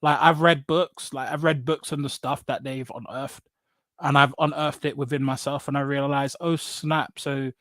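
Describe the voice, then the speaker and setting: A man's voice: mid-range voice, steady tone, normal speed, a bit bright, dry recording, clean studio sound. A man from England with an English accent telling personal stories casually as a guest, blunt and emphatic, repeating phrases and often checking in with "right?". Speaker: podcast guest. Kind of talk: casual personal storytelling in conversation.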